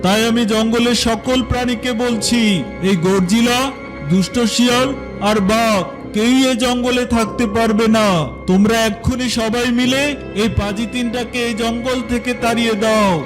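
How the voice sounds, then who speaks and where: Man voicing a high-pitched, stern cartoon lion king. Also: fairly even intonation, moderate pace, music in the background. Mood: stern, indignant. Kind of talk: children's cartoon voice acting.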